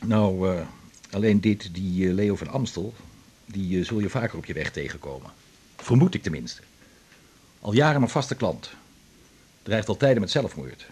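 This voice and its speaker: A husky, lively, wry male doctor. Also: fairly low pitch, moderate pace, long pauses. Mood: easy, collegial. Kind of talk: naturalistic 1980s radio drama acting.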